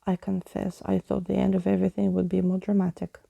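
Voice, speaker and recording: A woman narrating a story in ASMR style, soft and breathy, often slipping into a whisper, close to the microphone. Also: medium pitch, completely flat delivery, fairly quick, no room sound, muffled recording.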